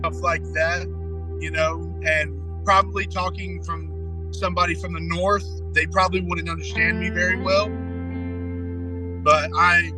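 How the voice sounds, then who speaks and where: A man speaks matter-of-factly in a fairly high, very level voice. Plucked guitar music plays clearly underneath him. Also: flat delivery, unhurried, poor audio. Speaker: interviewee. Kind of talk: flat, matter-of-fact interview answers.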